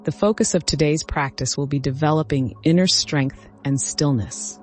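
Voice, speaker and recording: A man narrating a scripted guided meditation as the guide, giving instructions in measured phrases with short cues. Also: fairly high pitch, a little mellow. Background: background music.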